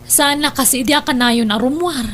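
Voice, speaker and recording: A woman acts as a caring but nosy older sister in an Ilocano radio drama. Her voice is high, with an Ilocano accent and a frank, questioning way of talking. A hum runs under the recording. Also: normal speed, fairly bright tone. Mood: frank, teasing. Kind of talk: Ilocano radio-style family drama acting. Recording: noticeable hum behind voice.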